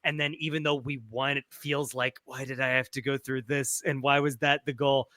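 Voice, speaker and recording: A man's voice: mid-range voice, flat delivery, moderate pace, sharp tone, no echo, studio quality. A man co-hosting a sports podcast in casual conversation. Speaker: podcast co-host.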